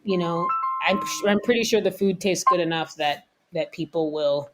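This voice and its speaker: A woman from the United States with a lowish voice, talking through her cooking career as a guest chef. She speaks candidly and reflectively, with an easy laugh between her thoughts. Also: normal speed, over music, rough recording. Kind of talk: casual interview talk.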